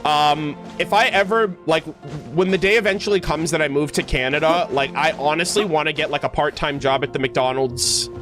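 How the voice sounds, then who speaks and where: A man's voice: a little high, normal speed. A man chatting casually as a livestreamer, reminiscing and answering viewers in a rambling, conversational way peppered with "like". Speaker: video game streamer. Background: background music.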